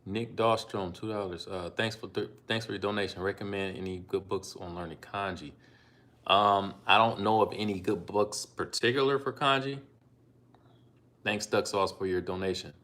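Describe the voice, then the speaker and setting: A man with a very low voice hosts a casual live stream, speaking through a phone. He comes from Ohio and talks in a relaxed, rambling way, pausing on ums as he reads out donor names and answers questions about learning languages. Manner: laid-back, unhurried, with frequent ums and asides. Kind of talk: casual live-stream chat reading donations.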